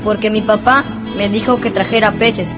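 An actor playing a smug, spoiled rich schoolboy in a radio play. The manner is boastful and contrary, at a middling pitch, with clear music behind. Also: fairly even intonation, normal speed, close to an everyday voice. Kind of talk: Spanish-language radio drama acting.

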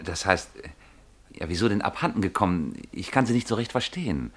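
Low-voiced, fussy, unyielding clerk. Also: male voice, lively intonation. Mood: puzzled. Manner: deliberate, matter-of-fact, obliging but unyielding. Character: stolid, fussy male newspaper advertisement clerk, politely dismissive. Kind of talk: German radio play acting.

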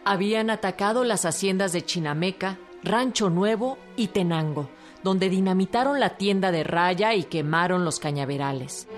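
A woman's voice: fairly low pitch, moderate pace, hardly any pauses. A woman with a Mexican accent delivering scripted documentary narration as a narrator. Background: music.

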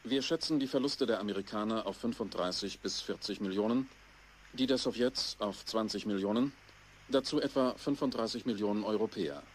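Man playing grave bulletin announcer, radio play: lowish voice, sober, factual, slight noise. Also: a little fast, close to an everyday voice. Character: sober male announcer reporting war damage and casualties.

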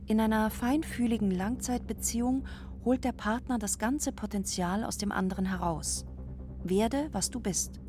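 A woman's voice: on the high side, normal speed, dark tone, soft background music. A woman giving an explanatory talk as a commentator on relationships.

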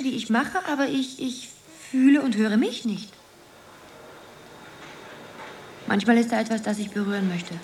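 A dreamy young woman muses her way through a surreal radio play, performed by an actress. Her mid-pitched voice is wistful and halting, with faint noise and ambience behind it. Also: a little mellow, close to an everyday voice. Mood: unsettled, halting. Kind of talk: poetic radio drama monologue.

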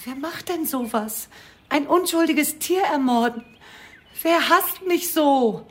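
A woman's voice: high-pitched, animated, takes it slowly, fairly bright tone, heavily acted. A woman playing a fragile, despairing middle-aged mother in a radio drama, speaking anxiously and pleadingly. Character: fragile, despairing abandoned mother of a teenage son. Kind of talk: German radio crime drama acting.

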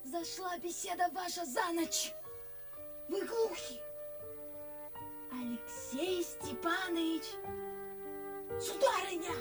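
An actress playing a saucy, lively young maidservant in a verse comedy radio play, with a theatrical, teasing delivery. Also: female voice, extremely high pitch, slow, long pauses, over music, full character voice. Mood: anxious, calling.

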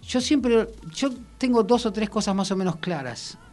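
A man with a Rioplatense Argentine accent talking casually and reflectively in a radio interview, with halting, colloquial phrasing. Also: sits high, expressive, darkish tone, faint music behind. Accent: Rioplatense Argentine Spanish. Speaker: musician and songwriter guest.

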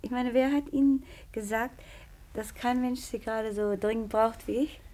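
An actress playing a lively, flirtatious middle-aged hairdresser widow with a Berlin accent in a 1950s German radio play. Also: female voice, fairly high pitch, normal speed, close to an everyday voice. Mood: coy, flirtatious.

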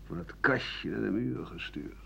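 Husky, bitter old man. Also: on the low side, expressive, a little slow, fairly bright tone, exaggerated voice. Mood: weary, sardonic. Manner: rambling, coarse, sardonic, stammering at times. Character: bitter, self-justifying old French doctor-writer looking back. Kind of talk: radio play monologue. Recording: dull lo-fi 1980 radio recording.

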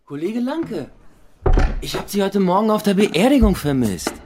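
An actor playing a menacing, sardonic police officer who presses hard with questions in a radio drama. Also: male voice, high voice, sing-song, normal speed, background noise. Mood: pressing.

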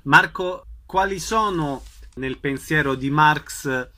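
A man's voice: on the high side, slow pace, bright, forceful tone. A man asking questions as an interviewer.

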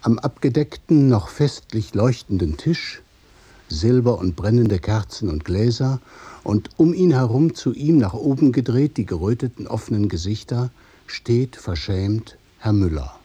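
A man narrating a literary radio play in a measured, observational way. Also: mid-range voice, animated, warm tone, slightly rough. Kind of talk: scripted literary narration in present tense.

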